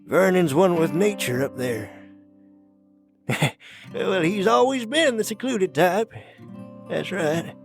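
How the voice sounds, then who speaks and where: Twitchy old gold miner, creaky n high, rural american, mutters and repeats himself, audio western. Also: expressive, takes it slowly, pauses often, over music, exaggerated voice. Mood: nervous, evasive. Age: older. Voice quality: creaky, cracked. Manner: stammering, nervous, repeats himself. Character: jittery feral old gold prospector, secretive and muttering. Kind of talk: western audio drama acting.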